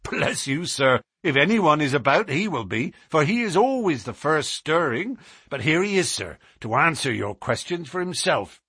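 A man's voice: on the high side, sing-song, moderate pace, pushed and bright, breathy edge, no echo, studio quality, pitched above the performer's own voice, heavily acted. A man voicing a deferential, wary stable groom in an audiobook story. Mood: wary, obliging.

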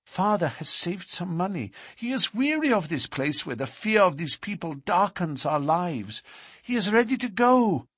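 Man doing a young woman, frightened and devoted, high urgent pleading, husky, dry recording. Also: big pitch swings, moderate pace, fairly bright tone, no echo, exaggerated voice. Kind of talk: audiobook character reading.

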